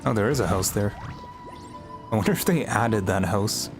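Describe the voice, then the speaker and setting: Low-voiced woman streamer chatting over music. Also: normal speed. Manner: offhand, chatty reactions. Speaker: livestreamer. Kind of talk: casual gameplay commentary. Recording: game music behind the voice.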